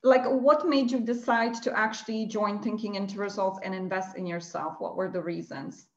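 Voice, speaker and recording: A woman's voice: medium pitch, steady tone, normal speed, roomy sound, dull audio. A woman hosting an interview over a video call, upbeat and encouraging, with lots of affirming fillers. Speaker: mentor, interview host.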